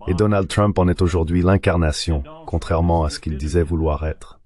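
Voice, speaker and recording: A man with a deep, low-pitched voice gives political commentary as a translated voice-over. His delivery is measured and explanatory. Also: level tone, darkish tone. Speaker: panel commentator.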